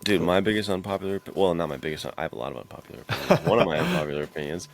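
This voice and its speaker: A man's voice: deep voice, sing-song, racing, vocal fry. A man hosting a podcast, chatting casually and slangily in a laid-back way. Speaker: podcast host. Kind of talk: casual chat about western films.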